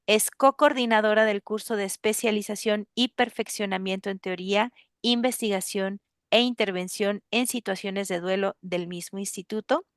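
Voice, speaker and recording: A woman hosting an interview, earnest and pausing often with fillers. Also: medium pitch, steady tone, smooth, no room sound, studio quality. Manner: earnest, with many filler pauses. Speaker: host.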